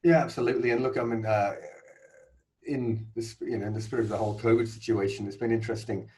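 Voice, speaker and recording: A man hosting a podcast interview, chatting easily and asking relaxed questions. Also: mid-range voice, quick pace, low-quality recording. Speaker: podcast host.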